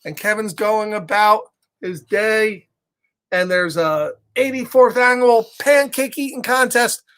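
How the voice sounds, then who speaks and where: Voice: mid-range voice, slow, a bit bright, clean tone. A children's storyteller reading a picture book casually, in simple repeated phrases, checking in with listeners.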